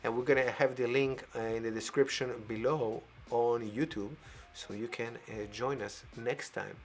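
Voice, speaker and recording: A man hosting a live variety show over a video call, playful and animated, laughing as he talks. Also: medium pitch, moderate pace. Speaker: host.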